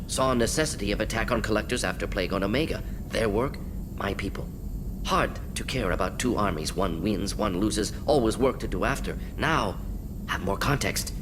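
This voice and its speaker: A man's voice: fairly low pitch, background noise, lightly acted. An actor playing a restless, intensely analytical male alien scientist in a video game, speaking in clipped, telegraphic phrases. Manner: clipped telegraphic phrases, articles and pronouns dropped. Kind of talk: video game character dialogue.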